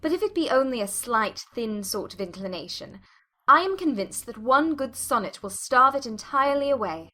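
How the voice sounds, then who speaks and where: A sharp-witted young lady, as a woman reader plays her, impatient and archly ironic. The voice sits fairly high with a bright, forward edge, in classic storybook dialogue. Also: expressive. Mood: teasing. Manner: arch, quick-witted, teasing. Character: witty, impatient young gentlewoman with playful irony. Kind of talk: dramatized audiobook character reading.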